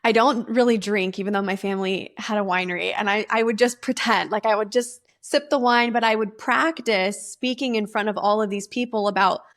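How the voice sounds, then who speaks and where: A woman hosts a podcast in a mid-pitched voice. She talks candidly and casually and affirms what her guest says. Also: steady tone, fairly quick, hardly any pauses, a bit bright. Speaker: podcast host. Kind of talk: conversational podcast interview.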